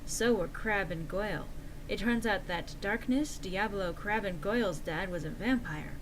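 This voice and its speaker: A woman narrates fan fiction at a middle pitch. Her delivery is theatrical and exaggerated, played mock-earnest. Faint noise sits behind the voice. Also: measured pace, poor audio. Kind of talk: dramatic reading of fan fiction.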